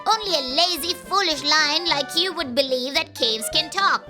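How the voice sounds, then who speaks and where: A woman voicing a cunning, cheeky male fox with a sing-song, mocking delivery in a children's animated story. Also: high-pitched, normal speed, music in the background, pitched above the performer's own voice, close to an everyday voice.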